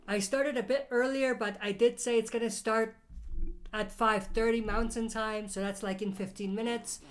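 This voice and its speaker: A woman with a cold hosts a relaxed live Q&A stream, her voice a bit higher than most. She reads viewer questions aloud and talks through her answers in a loose, chatty way, with ums and uhs along the way. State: has a cold. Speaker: livestream host. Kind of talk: casual live Q&A chat.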